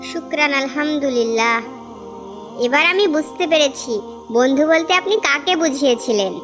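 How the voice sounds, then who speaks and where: A woman's voice: sits high, normal speed, close to an everyday voice. A woman voicing a poor, plain-spoken young housewife in a cartoon, with a questioning, plaintive manner. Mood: grateful. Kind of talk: animated cartoon dialogue in Bengali. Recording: music behind the voice.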